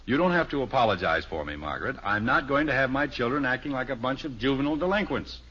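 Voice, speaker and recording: A man's voice: medium pitch, lively intonation, fast talker, bright, forceful tone, slightly rough. An actor playing a blustery, nostalgic middle-aged American father in an old radio sitcom, with an emphatic, hearty delivery. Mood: blustery, indignant. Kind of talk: 1950s radio sitcom acting. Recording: dull, noisy old radio broadcast recording.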